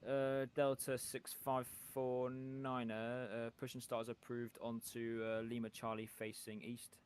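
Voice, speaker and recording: A man working as an air traffic controller in a flight simulation game, speaking radio phraseology in a hesitant, halting way. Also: medium pitch, completely flat delivery. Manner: hesitant, halting delivery with many pauses.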